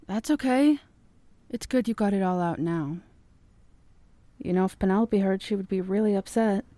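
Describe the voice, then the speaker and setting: Calm, wry young woman, played by a woman; mellow tone, dry recording. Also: mid-range voice, normal speed, no room sound, lightly acted. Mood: soothing. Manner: soothing, wry. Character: calm, teasing young woman, terminally ill. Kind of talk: fan audio drama acting.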